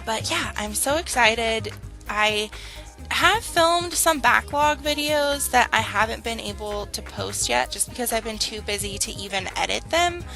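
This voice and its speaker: A young woman chatting casually over a game build, a little hoarse from a cold, with lots of fillers. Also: medium pitch, pushed and bright, poor audio. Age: young adult. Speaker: Sims builder and channel host. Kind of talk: casual speed-build commentary.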